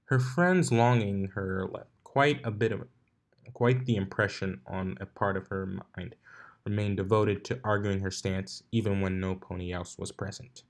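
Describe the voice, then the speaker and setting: A man's voice: low voice, fairly even intonation, measured pace. A man reading fan fiction aloud as narrator, in an amateur style with occasional stumbles over the words. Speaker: fanfiction narrator.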